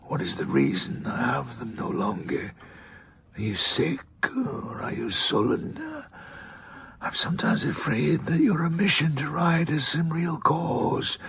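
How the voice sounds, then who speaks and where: A man's voice: a little high, very animated, a little slow, gravelly, a bit bright, full character voice. An actor playing an ailing, proud old man of letters in a period radio drama, speaking formally and emphatically. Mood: weary, fretful. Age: older.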